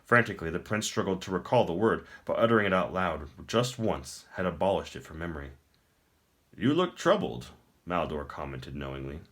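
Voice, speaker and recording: A man's voice: low-pitched, brisk, hardly any pauses, fairly bright tone. A man reading a fantasy novel aloud as dramatic scripted narration. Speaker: audiobook reader.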